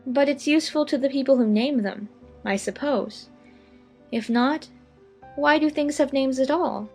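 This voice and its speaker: Woman doing a polite curious young girl, high voice, bit anxious, kids audiobook, earnest questions. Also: a little slow, slightly rough, faint music behind, exaggerated voice. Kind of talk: dramatized children's audiobook acting.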